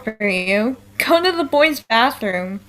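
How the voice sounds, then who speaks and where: A woman's voice: a little high, lively intonation, slow, music in the background. A woman playing a bossy young strategist, daughter of a wisdom goddess, exasperated and commanding in a musical theatre table read.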